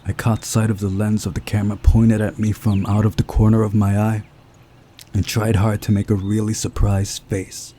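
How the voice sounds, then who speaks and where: A man's voice: lowish voice, completely flat delivery, moderate pace, dark tone, slight background noise. A man narrating a visual novel aloud as he plays through it. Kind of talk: reading a story aloud, narration.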